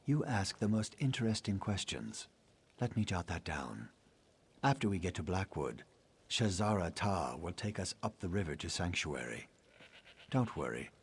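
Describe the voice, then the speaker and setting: An actor playing a scholarly reptilian assassin in a video game, speaking in a measured, formal, dryly sardonic way. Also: male voice, low-pitched, big pitch swings, breathy edge, exaggerated voice. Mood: dryly obliging. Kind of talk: video game dialogue acting.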